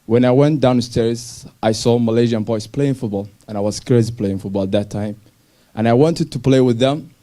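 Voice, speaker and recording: A young man from Somalia telling his personal story to an audience in simple, halting English with restarts. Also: mid-range voice, dark tone. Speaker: speaker sharing his refugee story. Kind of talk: personal story talk on stage.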